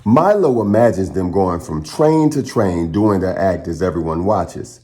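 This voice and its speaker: A man hosts a children's storytime in a fairly low voice. His pitch moves very freely as he reads. He phrases dramatically, with pauses for his young listeners. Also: expressive, mellow. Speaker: children's storytime reader and channel host. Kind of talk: expressive picture-book read-aloud.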